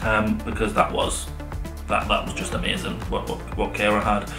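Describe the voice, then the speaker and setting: This is a man chatting casually in an enthusiastic way, as if to a camera from the sofa. His voice has the echo of a living room around it. Music plays clearly behind him. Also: fairly low pitch, monotone, normal speed. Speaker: vlog co-host reviewing restaurants. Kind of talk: casual sit-down chat to camera. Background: background music.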